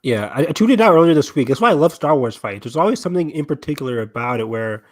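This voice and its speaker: A man's voice: fairly high pitch, expressive, fast, no room sound, clean studio sound. A man talking casually as a panelist in a fan discussion. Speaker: podcast panelist.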